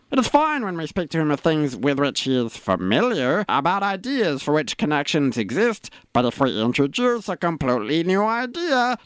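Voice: medium pitch, clean tone, no echo, lightly acted. Pedantic, swooping-voiced talking pet played by an actor. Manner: formal, precise, lecturing. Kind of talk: scripted comedy radio play.

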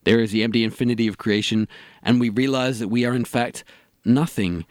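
A man's voice: mid-range voice, steady tone, fairly quick, no room sound, clean studio sound, close to an everyday voice. A man playing an eager, casual sidekick in a comedy radio play, with a chatty manner. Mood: reflective.

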